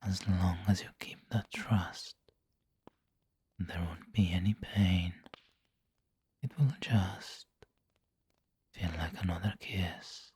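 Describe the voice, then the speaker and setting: A man playing a refined, doting vampire lord in an ASMR roleplay, whispering gently and intimately with seductive, protective care. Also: low-pitched, steady tone, full character voice. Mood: seductive, teasing. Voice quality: breathy, whispery. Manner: slow, gentle, intimate coaxing.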